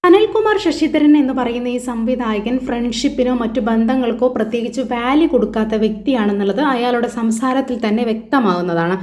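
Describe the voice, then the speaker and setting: A woman commentator speaks in a fairly high voice. She talks informally to her viewers, explaining and commenting on a news story, and the room around her is noticeably echoey. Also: warm tone. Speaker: YouTube commentator and host. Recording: echoey, roomy voice-over.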